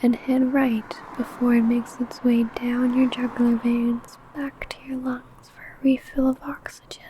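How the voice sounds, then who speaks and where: Woman acting a slow, breathy, seductive vampire woman. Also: fairly high pitch, level tone, mellow, slight background noise, close to an everyday voice, poor audio. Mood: predatory, teasing. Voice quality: breathy, often whispered. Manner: slow, teasing, menacing, deliberate. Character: seductive, possessive female vampire coworker stalking prey. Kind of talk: ASMR roleplay, intimate monologue to listener.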